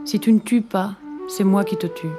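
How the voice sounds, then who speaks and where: A woman reading scripted documentary narration in short, measured phrases. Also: mid-range voice, fairly even intonation. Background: prominent flute music.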